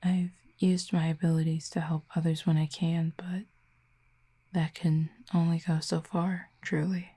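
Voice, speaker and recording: A woman playing a hushed, exiled cupid. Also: lowish voice, flat delivery, breathy edge. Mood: wistful. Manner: soft, hushed and slow, often near a whisper. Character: exiled cupid, gentle, wistful, soft-spoken. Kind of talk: audio roleplay to the listener.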